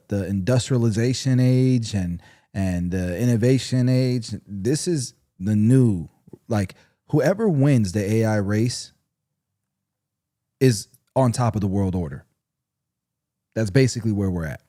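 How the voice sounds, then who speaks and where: A man with a low, warm voice hosts a livestream from his own setup. He talks in a blunt, laid-back way. The sound is dry and close. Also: unhurried, no echo. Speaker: livestream host. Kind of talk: casual livestream chat.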